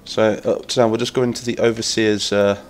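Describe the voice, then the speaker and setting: Nasal English streamer with a cold. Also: male voice, lowish voice, level tone, a little fast. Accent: Lincolnshire English. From England. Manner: chatty, enthusiastic, rambling, reading chat aloud. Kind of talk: casual live-stream chat while gaming.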